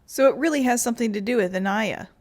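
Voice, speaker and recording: A woman's voice: on the high side, fast talker, a little mellow. A woman hosting a gaming video, reading dialogue and prompts aloud.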